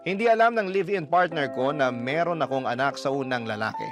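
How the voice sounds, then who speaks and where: A man's voice: medium pitch, expressive, a little fast. A man reading a listener's letter aloud in first person, as radio drama narration. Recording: music bed under the voice.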